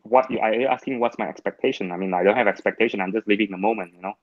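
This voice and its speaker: A man from Malaysia, speaking English as a second language, answers as a guest in casual discussion, blunt and halting with restarts. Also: fairly low pitch, no room sound, lo-fi sound. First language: not English.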